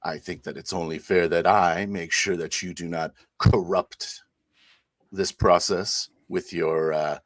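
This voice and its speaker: A man's voice: sits low, fairly even intonation, a little slow, a bit bright, no room sound. A man improvising as a devout, genteel inquisitor priest in a tabletop roleplaying game, with a hesitant, insinuating manner. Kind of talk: improvised in-character roleplay and narration.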